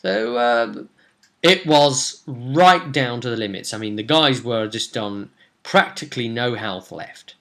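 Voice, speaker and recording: A man in his twenties with a bright, forceful voice talks casually to camera as a game master. He rambles excitably through a story and slips into players' lines. He sounds like he is at a desk at home. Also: medium pitch, a little slow. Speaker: game master recapping a tabletop role-play session. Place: small room at home.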